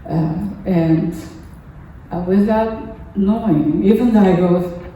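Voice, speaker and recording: An older woman from Japan, a native Japanese speaker with a Japanese accent, gives a halting, earnest personal talk as a lay speaker at a temple service. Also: mid-range voice, mellow, dull audio. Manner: halting, earnest, pausing often mid-phrase. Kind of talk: personal testimony talk to a congregation. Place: temple hall during a service. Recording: echoey room, distant mic, faint noise.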